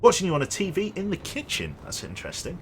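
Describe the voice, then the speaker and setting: A chatty young man rambles through a live gaming stream, talking to his viewers as he plays. His pitch moves a lot from line to line. A faint ambient noise sits under his voice. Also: wildly swooping pitch, quick pace. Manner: rambling, chatty, reads chat aloud and jokes. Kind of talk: casual live-stream chat while gaming.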